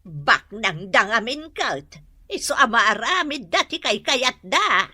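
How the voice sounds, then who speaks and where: An actress playing a fretful, nosy village mother in an Ilocano radio drama, with drawn-out exclamations. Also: on the high side, very animated, sharp tone, slightly rough, exaggerated voice.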